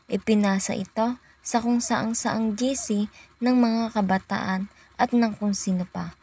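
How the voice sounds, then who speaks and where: A woman's voice: medium pitch, a little mellow, slightly rough. A young woman with a Filipino accent reading scripted narration for a student radio drama. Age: young adult.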